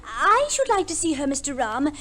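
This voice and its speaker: An arch society wife from an old radio drama. Her voice is extremely high and very animated, poised and drily polite. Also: moderate pace, heavily acted. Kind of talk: 1950s radio play acting.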